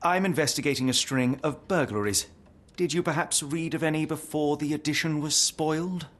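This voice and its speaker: A man playing a brusque, high-pitched arrogant detective. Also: normal speed, fairly bright tone, natural voice. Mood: impatient. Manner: brusque, articulate, pompous. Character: sharp, arrogant, restless young male consulting detective. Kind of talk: video game cutscene acting.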